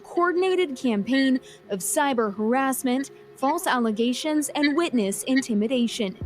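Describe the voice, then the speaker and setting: A woman reporter delivering scripted news narration in a formal reading style. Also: high voice.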